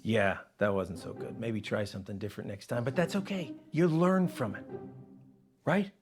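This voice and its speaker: An actor playing a worn but gentle older family member, plain-spoken and reassuring. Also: medium pitch, normal speed, over music, natural voice. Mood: encouraging. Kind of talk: naturalistic screen drama dialogue.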